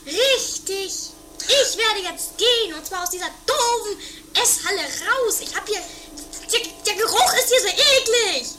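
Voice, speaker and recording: A woman's voice: very high-pitched, lively intonation, unhurried, over music. A woman playing a nagging, snippy sister in a theatrical comedy radio play. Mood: offended. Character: anarchic, nagging woman, the other bickering sister.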